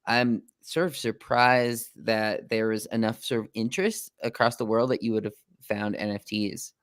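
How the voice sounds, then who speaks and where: A man with a clear, fairly low voice works as a podcast interviewer. He talks informally and wanders through his questions, with a dry, close sound and no room to it. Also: little pitch movement, normal speed, a bit bright, dry recording. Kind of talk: casual interview chat.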